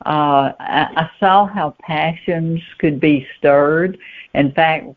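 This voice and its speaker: A woman giving plain-spoken, halting answers as a guest novelist in a conversational interview. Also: sits low. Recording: muffled, thin phone-line sound.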